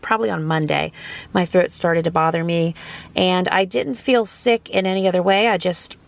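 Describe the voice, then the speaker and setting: A hoarse middle-aged woman, a mother vlogging casual commentary while cooking in a hotel room on her handheld phone. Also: medium pitch. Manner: chatty, upbeat running commentary. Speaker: vlogger, mother of a large family.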